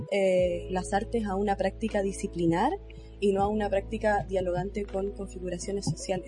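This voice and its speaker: A woman speaking as a panelist in an academic discussion, hesitant and searching for words with many fillers. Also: mid-range voice.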